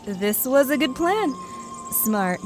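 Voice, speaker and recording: A woman's voice: sits high, big pitch swings, takes it slowly, over music, full character voice. A woman playing a scheming, determined elf in a fantasy audio drama. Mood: irritated, scheming.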